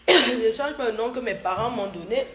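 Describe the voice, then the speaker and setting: A woman's voice: a little high, noisy background. A middle-aged woman, chatting casually on a livestream while she has a cold, repeating herself and answering viewers' comments directly. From Cameroon. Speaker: social media livestreamer. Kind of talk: casual live chat with viewers.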